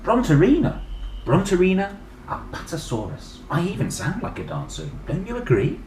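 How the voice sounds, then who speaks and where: A reader voicing a big, gentle young female dinosaur who dreams of dancing, earnest and dreamy. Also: medium pitch, huge pitch swings, normal speed, a little hiss or hum, pitched above the performer's own voice. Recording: echoey room.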